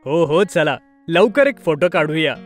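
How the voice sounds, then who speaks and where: A man's voice: a little high, animated, a little slow. An affectionate cartoon father, friendly, agreeable and a little nervous. He chats to his children with music in the background. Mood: pleased, affectionate. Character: friendly, mildly nervous, obliging father of two.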